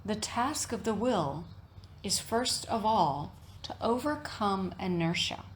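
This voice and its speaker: A woman teaching yoga nidra, giving a reflective guided meditation talk in measured, deliberate phrases with frequent pauses. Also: medium pitch, lively intonation, a little hiss or hum.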